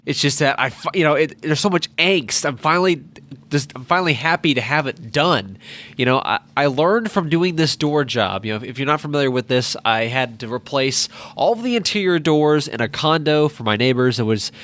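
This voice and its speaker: A man's voice: a little high, animated, fairly quick, a bit bright. A nineteen-year-old man with a stuffed-up nose, rambling through a casual solo podcast with many restarts and asides. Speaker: podcast host. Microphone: podcast microphone.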